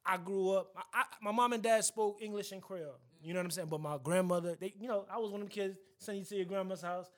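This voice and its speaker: High-voiced man telling a lively story. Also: fast, hardly any pauses. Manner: animated storyteller, repeats himself. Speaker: podcast co-host. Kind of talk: casual chat.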